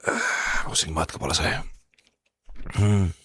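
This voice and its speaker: A man's voice: sits low, slow pace, pushed and bright, slightly rough, no room sound, clean studio sound, exaggerated voice. An Indonesian man acting a stern, proud office boss running a fever, in a conversational ASMR roleplay with hesitant muttering. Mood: weary, unwell.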